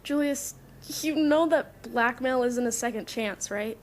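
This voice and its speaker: A woman's voice: on the high side, a little slow, lightly acted. A woman playing a troubled, principled young superhero in an audio drama, speaking sincerely with firm moral conviction. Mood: skeptical, reproachful.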